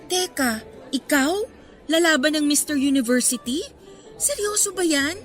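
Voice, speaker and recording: A young Filipina college student with a high, bright voice. She sounds good-natured and playfully scolding, in radio drama style, with music underneath. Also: takes it slowly. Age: young adult. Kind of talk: Tagalog radio drama acting. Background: background music.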